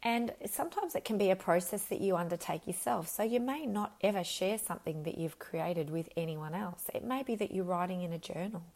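Croaky-voiced woman podcasting. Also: lowish voice, expressive, a little fast, hardly any pauses, darkish tone. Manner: earnest, reflective, with frequent ums and self-corrections. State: slightly croaky voice. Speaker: podcast host, leadership coach. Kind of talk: unscripted solo podcast talk.

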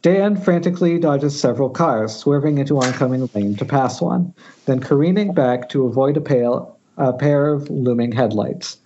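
A man's voice: mid-range voice, normal speed, dark tone. A man reading horror screenplay stage directions aloud at an amateur table read over an internet call, stumbling and correcting himself. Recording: muffled internet call audio.

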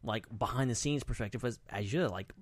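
A man chats as a podcast co-host in an informal, loose way, his sentences broken up with plenty of "like". His voice sits at a middle pitch and is recorded close and dry. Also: fairly quick, no room sound. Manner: informal, talks in loose fragments peppered with "like". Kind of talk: casual pop-culture podcast chat.

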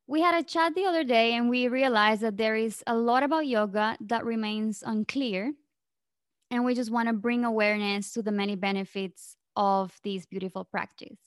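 Gentle higher-voiced woman podcast host. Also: normal speed, no echo, studio quality. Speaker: podcast host and health coach. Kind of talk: podcast intro and interview.

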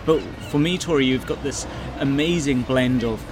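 A man at mid pitch hosting an easygoing interview, chatting along with long winding introductions. He sits at an outdoor cafe table, and the recording carries plenty of background noise with passing vehicles behind his voice. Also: normal speed. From England. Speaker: podcast host. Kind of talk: casual interview. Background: cafe and traffic noise.

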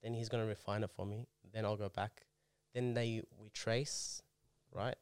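A man's voice: fairly low pitch, level tone, normal speed, darkish tone, clean tone, dry recording, studio quality. A man, a calligrapher explaining his craft in a casual conversation. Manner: eager, explains at length. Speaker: calligrapher guest.

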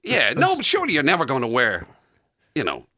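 Irish man, middle-aged radio co-host. Mid pitch, very lively intonation, casual incredulous banter. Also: brisk, no echo, studio quality. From Ireland. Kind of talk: casual comic chat.

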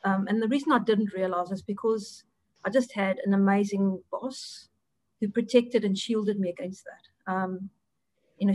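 A woman's voice: medium pitch, no room sound, poor audio. A middle-aged woman from South Africa with a South African accent, an Afrikaans native speaker, answering interview questions with many ums and restarts. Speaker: interview guest, audit partner.

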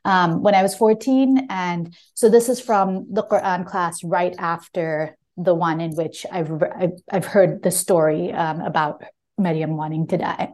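A woman's voice: fairly low pitch, fairly even intonation, a little slow, a little mellow. A woman talking as a guest author about a memoir, reflective and conversational, with frequent small hedges. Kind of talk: casual book talk and reading from own memoir.

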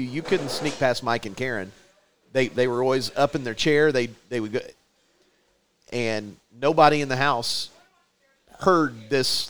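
A man co-hosting a podcast, telling a story haltingly, repeating words and poking fun at himself. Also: medium pitch, a bit bright. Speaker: podcast co-host. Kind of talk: casual chat, storytelling.

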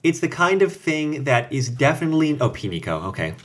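A man's voice: on the high side, sing-song, fairly quick. Man explaining fan lore casually. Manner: explanatory, joking, rambling with asides. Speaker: podcast co-host. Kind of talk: casual chat.

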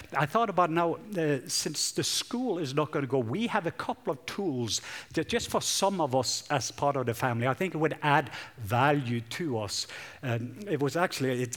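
Man preaching from a lit stage. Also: a little high. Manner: warm, joking with the congregation, loose rambling delivery. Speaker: guest preacher. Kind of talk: sermon. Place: large church hall with stage lights.

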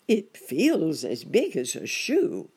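A storyteller voicing a mild, timid gentleman rabbit in a storybook, hesitant and given to exclaiming. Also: medium pitch, wildly swooping pitch, slow, husky, voice pushed up, heavily acted. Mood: uneasy.

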